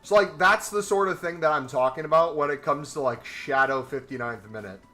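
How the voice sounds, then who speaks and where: A man's voice: on the high side, fairly quick, sharp tone, soft background music. A young man in casual live-stream commentary, laid-back and talking to his chat from a small room. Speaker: trading streamer. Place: small room indoors, gaming chair.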